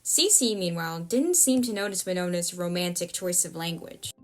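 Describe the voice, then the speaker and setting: A woman's voice: fairly low pitch, normal speed. A woman reading scripted narration for a story as its narrator. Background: music bed.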